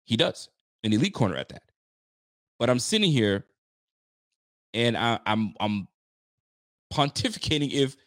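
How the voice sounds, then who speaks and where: Lively chatty man in his thirties. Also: medium pitch, dry recording, clean studio sound. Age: 30s. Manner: animated, chatty, repeats himself. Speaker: sports podcast host. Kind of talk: casual sports talk.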